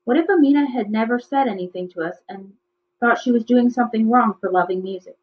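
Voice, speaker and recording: A woman's voice: on the high side, brisk, lightly acted. A woman voicing an exasperated, protective mother in a storybook. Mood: indignant. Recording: slightly dull, lo-fi recording.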